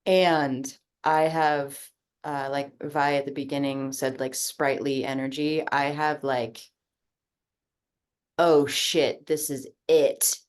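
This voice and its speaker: A woman's voice: very deep voice, little pitch movement, slow. A woman sharing in a group coaching video call, talking in a casual, reflective way, full of fillers and easy swearing. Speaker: coaching participant.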